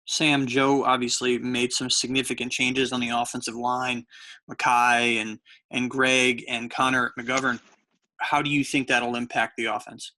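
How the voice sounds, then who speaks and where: A man's voice: medium pitch, little pitch movement, a little slow, pushed and bright. A man asking a question as a sports reporter at a press conference.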